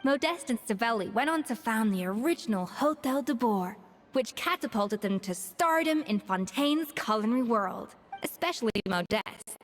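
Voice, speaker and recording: Brief: actress as a poised chef, cool and exact, fairly high voice, game dialogue with reverb. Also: female voice, lively intonation, natural voice. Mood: explaining. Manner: measured, dry, lightly disdainful. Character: composed woman head chef, precise and frank. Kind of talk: video game voice acting. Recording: reverberant game audio with soft music.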